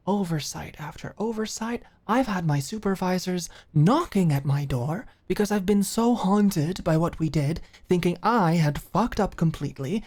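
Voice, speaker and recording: Woman acting an earnest, slow-spoken female office manager. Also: on the low side. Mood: earnest, tense. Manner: halting, sighing, emotionally expressive. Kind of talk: intimate ASMR roleplay audio drama.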